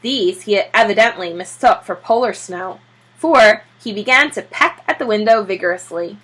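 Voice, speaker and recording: A woman reading a children's story aloud in an expressive, animated teacher's manner. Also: mid-range voice, moderate pace, rough recording. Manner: expressive, acts out character voices.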